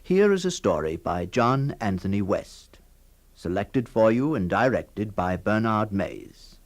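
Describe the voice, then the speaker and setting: A man's voice: fairly low pitch, very animated, measured pace. A man announcing a drama program in a formal, scripted style.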